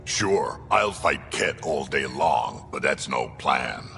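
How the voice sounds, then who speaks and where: An actor playing a gruff, sardonic alien warrior crewmate in a video game, with a gravelly voice. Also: male voice, rumbling low, animated, slow pace, pushed and bright, faint music behind, exaggerated voice. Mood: dismissive. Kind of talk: video game cutscene dialogue.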